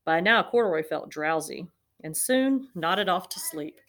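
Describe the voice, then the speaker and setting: A woman narrating a children's picture book aloud in an expressive storytelling manner. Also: lowish voice, measured pace, slight background noise. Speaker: storybook reader.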